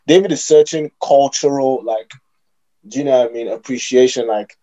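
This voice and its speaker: A man's voice: medium pitch, normal speed, mellow. A young man hosting a casual podcast over a video call, posing long, probing questions and restarting mid-thought. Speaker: podcast host. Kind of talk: casual debate among friends.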